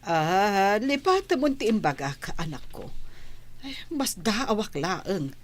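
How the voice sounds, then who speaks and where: An actress playing a concerned, gently prying older mother of a grown daughter in a radio drama. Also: medium pitch, very animated, takes it slowly. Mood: prying, backing off. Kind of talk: Philippine radio drama acting.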